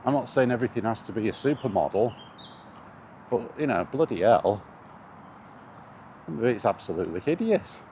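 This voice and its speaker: A man's voice: on the low side, lively intonation, moderate pace, long pauses, darkish tone. A man giving a rambling, casual vlog chat about his hobby in a hesitant, conversational, wryly exasperated way. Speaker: video game vlogger. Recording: clear outdoor background noise.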